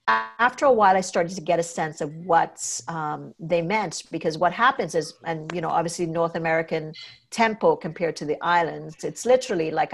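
A woman from Trinidad and Tobago hosts a podcast, talking in a relaxed conversational way. Her voice sits fairly low for a woman. She brings in stories and acts out the quoted lines. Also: creaky. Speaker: podcast host and consultant.

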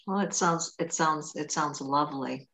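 A woman's voice: low voice, normal speed, vocal fry, fairly bright tone, poor audio. A woman with a Trinidadian accent hosting an interview in a chatty way with asides. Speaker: podcast host.

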